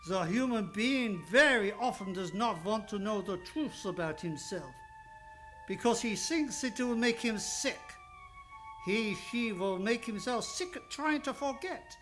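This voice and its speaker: A man's voice: high-pitched, lively intonation, a little slow, over music, low-quality recording. A man playing a fatherly older psychoanalyst in an old-time radio drama, coaxing and insistent, with slightly broken phrasing. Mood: explaining, patient. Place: radio studio, live radio play.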